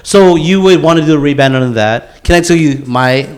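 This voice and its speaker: Higher-pitched, slangy man on a podcast. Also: fairly quick. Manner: slangy, profane, says "bro" and "man". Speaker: podcast co-host. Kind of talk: casual podcast chat among friends.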